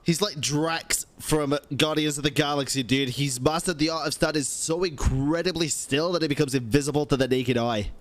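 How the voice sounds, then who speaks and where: A man's voice: fairly high pitch, normal speed, few pauses. A man casually reacting to a game as a streamer, slangy and full of short interjections.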